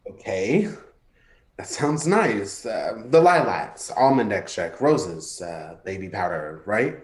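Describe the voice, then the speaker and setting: A man's voice: lowish voice, very animated, takes it slowly. A man acting in a play reading as a guarded, edgy but caring man, speaking in hesitant, clipped phrases. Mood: uneasy. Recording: roomy, echoey sound.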